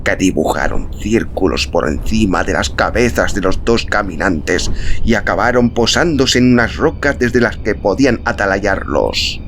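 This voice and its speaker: A man narrating a literary story as an audiobook, in a measured, dramatic, expressive storytelling manner. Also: fairly low pitch, noisy background. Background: music.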